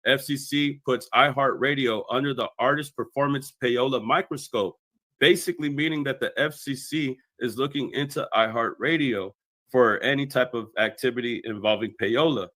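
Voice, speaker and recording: A man's voice: mid-range voice, moderate pace, bright, forceful tone, no room sound. A man delivering scripted explanatory commentary as a video commentator.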